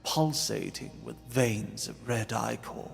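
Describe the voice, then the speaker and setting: A man's voice: medium pitch, steady tone, takes it slowly, gravelly. A man narrating a fantasy tale in a dramatic, scripted style, solemn and portentous. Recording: long reverb, music behind.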